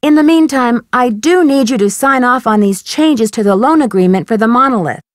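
A woman's voice: fairly high pitch, lively intonation, moderate pace, no room sound, clean studio sound, natural voice. A woman voicing a polite, inquisitive young female detective in a video game, articulate and questioning. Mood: polite, businesslike. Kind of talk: scripted video game dialogue.

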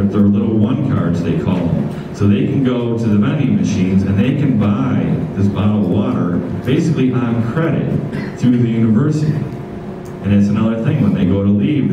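A middle-aged man talking casually as a panelist, telling anecdotes and repeating his phrases as he goes. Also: on the low side. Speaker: panelist, environmental activist. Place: meeting room, indoors. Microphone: distant camera microphone. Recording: distant, echoey, muffled, noisy room.

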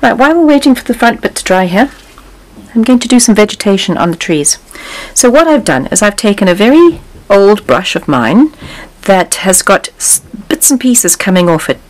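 Woman, painting instructor, calm step-by-step explanation, mid pitch; talking while she paints. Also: normal speed, low-quality recording. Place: studio table, overhead camera on canvas.

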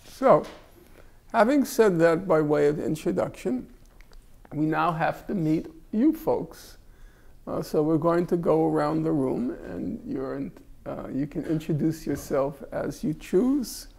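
An older man leads a class in a library room, his voice gravelly and a little husky. He jokes wryly and pokes fun at himself. He is close on a lapel mic. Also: a little high, animated, unhurried, a little mellow. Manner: wry, joking, self-mocking. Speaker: class instructor. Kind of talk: casual classroom talk.

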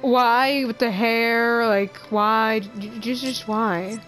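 A young woman chatting casually as she plays a game, excitable, giggly and rambling. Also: fairly high pitch, slow, soft background music. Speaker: gamer. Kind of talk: casual chat over gameplay.

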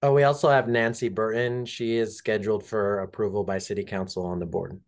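A man's voice: lowish voice, little pitch movement, fairly quick. A man, a city staff member giving casual, helpful updates at a meeting. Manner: casual, helpful, loose phrasing with fillers. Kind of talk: meeting remarks.

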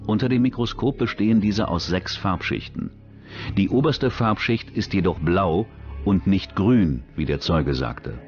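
Low-voiced man narrating true crime over music. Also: expressive, unhurried, dull audio.

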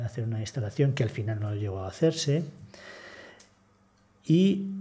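Husky-voiced older man giving a seminar talk. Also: mid-range voice, dark tone. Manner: measured, explanatory, with frequent hesitations. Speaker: conference speaker, conservator. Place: conference room, seated at a table.

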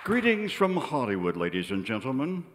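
An older man announces in theatrical old-time radio style, with showy, deliberate phrasing. His pitch swings widely, and his voice rings through a big hall with an audience. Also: wildly swooping pitch, crowd noise. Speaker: announcer and narrator of a live show. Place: large theater stage. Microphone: stand microphone on stage. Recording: echoey theater stage with audience noise.

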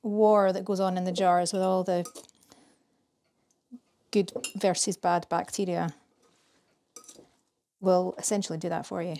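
A woman in her thirties from Scotland, casually explaining a recipe in her home kitchen with a chatty, relaxed manner and some fillers. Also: on the low side, measured pace, long pauses, slight background noise. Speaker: vlogger. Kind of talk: casual narration while cooking.

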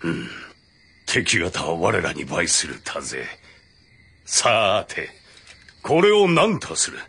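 A man's voice: low-pitched, wildly swooping pitch, takes it slowly, sharp tone, slightly rough, exaggerated voice. A man voicing a shrewd, commanding samurai lord in a game, with authoritative, old-fashioned speech. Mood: measured, authoritative. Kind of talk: dramatic Japanese game voice acting.

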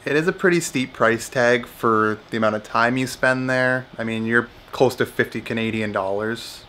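A man's voice: medium pitch, moderate pace, sharp tone, a little hiss or hum. A young man chatting casually and cheerfully to the camera in a travel vlog, filmed at arm's length outdoors and in a hotel room. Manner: upbeat, chatty, laughs easily. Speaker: travel vlogger. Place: outdoors in snowy woods, then hotel room. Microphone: handheld camera held at arm's length.

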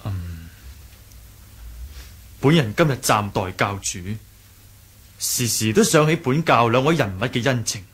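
An actor playing an earnest, courteous young sect leader in a Cantonese radio drama, speaking in a measured, polite way with a Hong Kong accent. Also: male voice, medium pitch, lively intonation, a bit bright, breathy edge, heavily acted.

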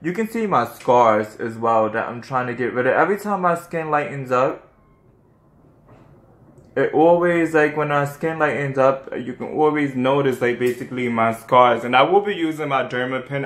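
A man's voice: medium pitch, a bit bright, reverberant. A young man with a New York accent, rambling through a casual skincare how-to with lots of fillers. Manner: rambling, chatty, many fillers and restarts. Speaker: skincare vlogger.

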